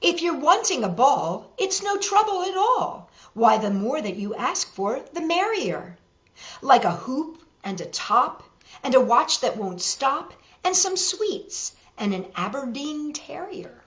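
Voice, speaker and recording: A woman's voice: high voice, sing-song, slow pace, a bit bright, reverberant, dull audio. A woman hosting a children's storytime, reading picture books aloud and chatting playfully with young viewers.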